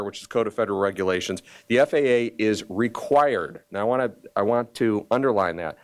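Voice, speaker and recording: A man speaking as a county official at a press conference, deliberate and emphatic, pausing often in a formal statement. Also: on the low side, clear, resonant tone.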